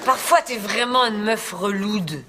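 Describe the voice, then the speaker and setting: A woman's voice: mid-range voice, measured pace, fairly bright tone, slight background noise, lightly acted. An actress playing a brash, self-satisfied woman decorator who puts on a chic Parisian French accent and slangy, theatrical airs. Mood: irritated, weary. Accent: put-on Parisian French.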